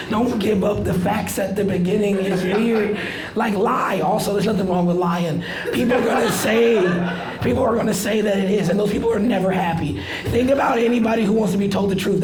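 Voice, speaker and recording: A raspy man in his thirties rambles through a stand-up set, his voice sitting fairly high for a man. He talks into a handheld mic in a small club, and the room rings back around him. A live crowd laughs loudly between his lines. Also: echoey room. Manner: loose rambling delivery with filler "like". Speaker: stand-up comedian. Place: small comedy club stage with live audience. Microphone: handheld stage mic. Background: audience laughter.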